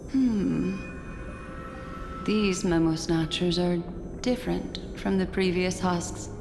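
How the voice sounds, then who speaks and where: A mysterious, curious seer who speaks in slow, measured phrases with a slightly low voice. Her lines ring with long reverb over game music. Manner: slow, measured, intrigued musing.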